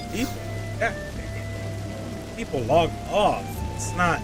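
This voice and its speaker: A man's voice: fairly high pitch, very animated, slow pace. A man streaming a video game, talking casually and thinking aloud as he plays. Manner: rambling, thinks aloud with restarts. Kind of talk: casual commentary while playing. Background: game music.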